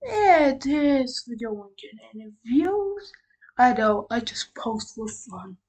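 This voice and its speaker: High-voiced, slow-talking female reaction host. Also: big pitch swings, darkish tone. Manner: halting, slangy. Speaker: video host. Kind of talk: casual reaction commentary.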